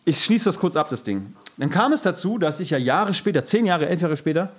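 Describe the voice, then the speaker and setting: A man's voice: a little high, big pitch swings, brisk, breathy edge. A man co-hosting a podcast, telling stories in a casual, colloquial and blunt way with some swearing. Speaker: podcast co-host; musical singer and actor. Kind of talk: casual storytelling chat.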